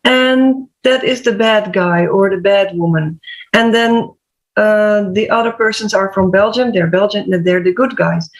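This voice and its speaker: A woman's voice: medium pitch, a little slow, poor audio. A woman from the Netherlands with a Dutch accent, speaking earnestly as a guest in an online panel discussion. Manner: earnest, with um and uh fillers.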